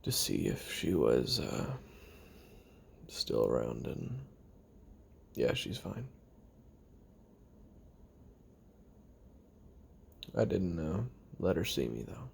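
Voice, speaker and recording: A man playing a weary, lonely young retired assassin who makes a halting, brooding confession on tape in an audio roleplay, with a gravelly, husky voice. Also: very deep voice, big pitch swings, exaggerated voice. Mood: wistful, resigned. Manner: halting, brooding, trailing off with sighs and pauses.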